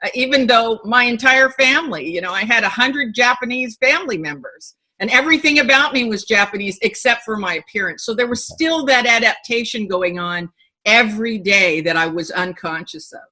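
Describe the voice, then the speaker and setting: Middle-aged woman, bright voice, animated and candid interview guest on a video call. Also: fairly high pitch, moderate pace, rough recording. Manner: animated storyteller, emphatic and candid. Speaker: author guest. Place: home room with bookshelves.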